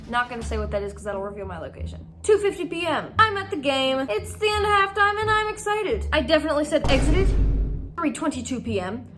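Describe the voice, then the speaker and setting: A young woman melodramatically reading a childhood diary, with theatrical, drawn-out emphasis and casual, self-mocking asides. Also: high-pitched, a bit bright. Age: young adult.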